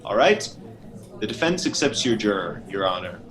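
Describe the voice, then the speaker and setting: A man's voice: fairly low pitch, very animated, over loud music. Courteous defense lawyer played by a man. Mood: polite, probing. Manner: polite, measured, formal. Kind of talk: radio play courtroom acting.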